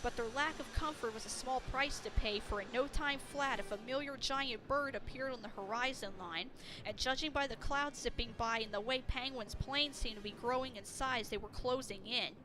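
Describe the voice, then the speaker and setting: A woman's voice: on the high side, moderate pace. A woman reading a story aloud as a dramatic, scripted narration. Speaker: fan fiction narrator.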